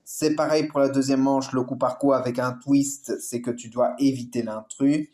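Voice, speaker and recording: A man's voice: mid-range voice, little pitch movement, moderate pace, a little mellow. Rambling French reaction youtuber man. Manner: rambling, lots of fillers. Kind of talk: casual reaction commentary.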